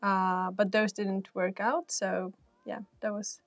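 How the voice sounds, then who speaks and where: A woman's voice: mid-range voice, flat delivery, takes it slowly, no echo, soft background music. Lady in her 30s, career counselor, chatty w/ lots of ums, dry close sound. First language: Finnish and Swedish. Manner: chatty, many fillers and restarts. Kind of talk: casual panel talk.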